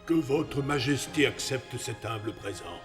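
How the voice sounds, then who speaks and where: A man's voice: medium pitch, measured pace, music in the background, natural voice. A man voicing a courteous, jovial animal envoy with a ceremonious, obliging manner in a French cartoon dub. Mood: formal.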